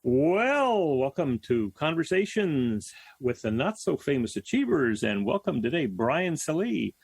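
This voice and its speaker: A man's voice: fairly high pitch, sing-song, measured pace, vocal fry, slightly rough, dry recording. A man hosting a talk show, interviewing conversationally and repeating words as he frames his questions.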